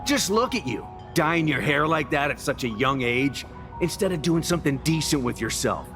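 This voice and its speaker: A man's voice: fairly high pitch, animated, normal speed, a bit bright. An actor playing a hostile, scolding townsman in a video game, accusing and disdainful. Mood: scornful. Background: background music.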